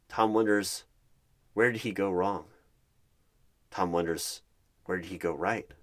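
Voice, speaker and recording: A man narrating an ASMR roleplay in the third person, with deliberate, halting and repetitive phrasing. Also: low-pitched, a bit bright.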